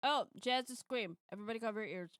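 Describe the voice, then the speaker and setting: A woman hosting a casual visual novel stream, talking haltingly in a playful, teasing way. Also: a little high, fairly bright tone, no room sound, clean studio sound. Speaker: host of the livestream.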